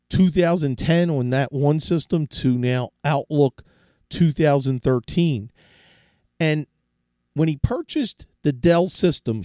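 A man's voice: mid-range voice, warm tone, no echo, studio quality. A middle-aged man hosting a tech podcast, talking casually and giving advice with frequent restarts, his voice sore and fading from a cold. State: sore throat, losing his voice. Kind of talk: casual solo tech talk.

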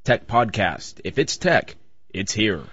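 A man reading a short scripted network ident in an even announcer manner. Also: fairly low pitch, takes it slowly, breathy edge, dull audio.

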